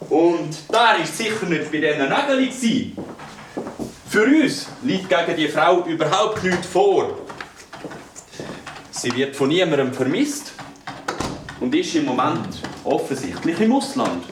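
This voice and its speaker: A man's voice: a little high, very animated, a little slow, long pauses. A man with a Swiss German accent playing a brusque, dismissive police officer in a children's radio play, with a mocking way of talking. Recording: roomy, distant, noisy recording.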